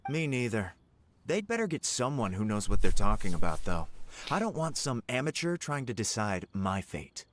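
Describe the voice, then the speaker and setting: A man's voice: mid-range voice, big pitch swings, moderate pace, a bit bright, slight background noise. An actor playing a haughty, irritable male exam candidate in a video game, pompous and indignant. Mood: disdainful.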